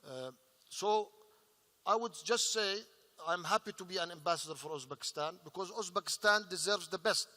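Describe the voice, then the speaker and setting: A man speaking as an investor on a panel, earnest and halting, with many fillers. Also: high-pitched, pushed and bright. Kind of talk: panel discussion remarks. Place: large conference hall. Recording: reverberant conference hall.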